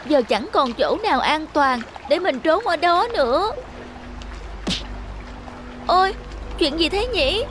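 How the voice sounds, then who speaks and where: Little fretful cartoon snail played by a woman, extremely high voice, exclamatory delivery, music behind. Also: expressive, slow pace, long pauses. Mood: panicked. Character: small anxious cartoon snail fleeing danger underwater. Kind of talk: animated fable acting. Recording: music under the voice.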